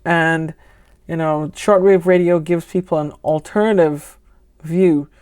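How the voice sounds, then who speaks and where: Low-pitched woman giving a casual gadget review. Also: steady tone, unhurried, darkish tone, clear, resonant tone. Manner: conversational, explanatory, with trailing asides. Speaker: ham radio vlogger and product reviewer. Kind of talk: casual unscripted product review.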